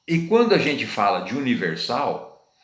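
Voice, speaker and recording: Brazilian preacher teaching in an echoey room. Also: male voice, mid-range voice, big pitch swings, moderate pace. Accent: Brazilian Portuguese. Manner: conversational, repeats phrases, asks listeners rhetorical questions. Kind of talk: informal sermon teaching. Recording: roomy echo.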